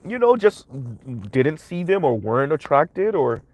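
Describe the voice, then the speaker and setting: A man's voice: fairly high pitch, very animated, a little slow, darkish tone, no room sound. A young man with a cold and a stuffy voice, hosting a livestream of emphatic social commentary full of tag questions. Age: young adult. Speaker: commentary channel host.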